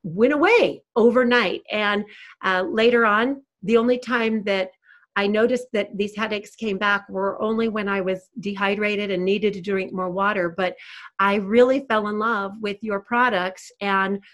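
A woman's voice: medium pitch, steady tone, a little slow, a bit bright. A woman, a life coach, hosting her talk show with an enthusiastic, effusive way of speaking. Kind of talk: hosting an interview.

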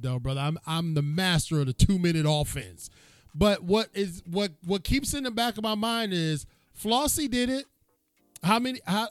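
A male host with a notably high voice, talking in a folksy, joking way. The sound is close and dry, with no room echo. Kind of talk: casual interview chat.

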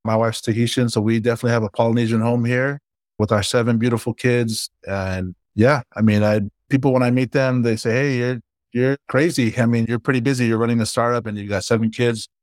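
A man in his forties from Hawaii, a startup founder chatting casually and warmly about his life as an interview guest. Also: mid-range voice, steady tone, fairly quick, dry recording.

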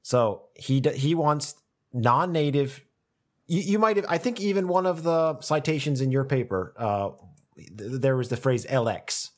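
A man talks through an idea in a relaxed academic conversation, circling back and repeating words as he works it out. His pitch swings widely from phrase to phrase, giving his speech an animated, searching lilt. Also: a little slow. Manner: thoughtful, repeats words while thinking aloud.

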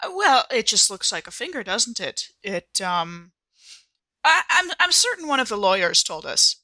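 A woman improvises casually as a player in a roleplaying game. Her voice is bright and fairly high, swinging expressively through questions, with a dry, close sound. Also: big pitch swings, moderate pace, dry recording. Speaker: player in a tabletop roleplaying podcast. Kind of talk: casual in-character improvised chat.

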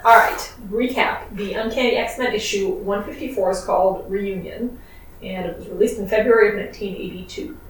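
Quiet woman, very echoey and distant. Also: medium pitch, moderate pace. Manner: quiet, soft-spoken. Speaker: podcast co-host. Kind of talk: casual chat and recap. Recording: very echoey, distant from mic, faint noise.

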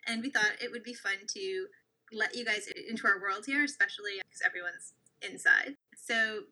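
A young woman co-hosting a casual chat, telling an animated dating story and acting out the quoted lines. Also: medium pitch, moderate pace, low-quality recording. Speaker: co-host.

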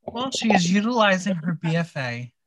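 A man's voice: sits high, big pitch swings, moderate pace, a bit bright. Dry-humoured man hosting a casual recap. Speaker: podcast host recapping a TV episode.

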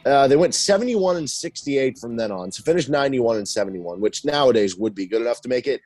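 Podcast host, male, hoarse and husky, dry close sound, laid-back stat rundown. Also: medium pitch, fast, no room sound, soft background music. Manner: relaxed, lists stats conversationally. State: hoarse, losing his voice. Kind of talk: casual sports podcast chat.

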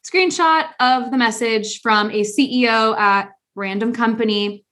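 A woman co-hosting a podcast in a casual chat, animated, laughing often and talking with many fillers. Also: a little high, unhurried. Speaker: podcast co-host.

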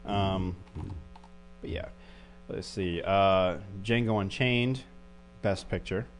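A man's voice: low voice, slow. A man co-hosting a podcast in casual chat, hesitant with many fillers and restarts. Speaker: podcast co-host. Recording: faint mains hum.

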